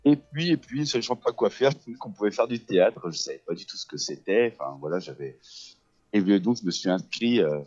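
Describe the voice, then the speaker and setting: A middle-aged man from France speaks in a very deep, low voice, stumbling through his answers with frequent fillers and false starts. The recording sounds dull and lo-fi, like an old radio capture. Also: expressive, fairly quick, darkish tone, soft background music. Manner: hesitant, many fillers and restarts. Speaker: guest, actor and theatre teacher. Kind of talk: casual radio interview.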